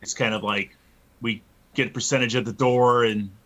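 A man in his early fifties with a bright, forceful voice at a middling pitch. He talks informally and earnestly as a musician being interviewed, often hesitating as he finds his phrasing. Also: fairly even intonation, normal speed. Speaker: interview guest, metal band musician. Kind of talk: casual interview chat.

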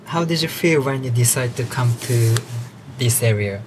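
A man's voice: mid-range voice, normal speed, noisy background. A man conducting an interview, asking questions and stumbling as he rephrases them. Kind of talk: oral history interview.

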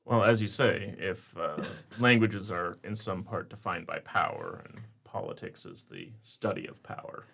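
Husky gravelly co-host, wry. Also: low voice, sing-song, unhurried, hardly any pauses, fairly bright tone. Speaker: podcast co-host. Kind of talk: casual chat.

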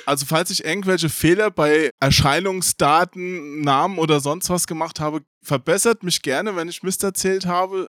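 A man's voice: fairly high pitch, normal speed, few pauses, fairly bright tone, no echo, studio quality. A man hosting a podcast and chatting casually with guests in a jokey, teasing way. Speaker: podcast host.

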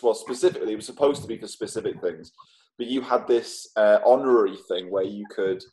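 Low-voiced British man in his thirties. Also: a little fast, a little mellow, low-quality recording. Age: 30s. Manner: sweary, rambling. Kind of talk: casual chat.

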